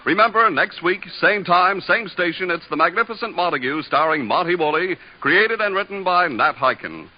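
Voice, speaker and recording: A man's voice: sits high, expressive, moderate pace, few pauses, muffled recording. A man announcing a vintage 1950s radio program in a scripted style. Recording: noisy old radio, loud crowd behind.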